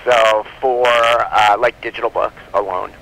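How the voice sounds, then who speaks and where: A man's voice: mid-range voice, steady tone, measured pace, a bit bright, poor audio. A man chatting casually as an interview guest, explaining his business in an informal, rambling way, often rephrasing mid-sentence. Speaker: guest, comic book publisher.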